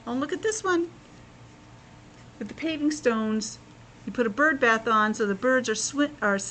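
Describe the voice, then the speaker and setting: Animated woman reading a storybook aloud. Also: on the high side, a little hiss or hum, low-quality recording. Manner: expressive, explanatory, encouraging. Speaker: schoolteacher reading a picture book aloud to children. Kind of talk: read-aloud storytelling with simple asides explaining the pictures.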